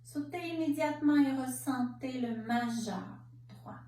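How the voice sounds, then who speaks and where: A woman with a slightly high voice leads a guided relaxation. She gives calm, measured instructions in short phrases with pauses. The sound is very roomy and a little distant from the mic. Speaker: yoga nidra instructor. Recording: roomy, distant mic, faint background noise.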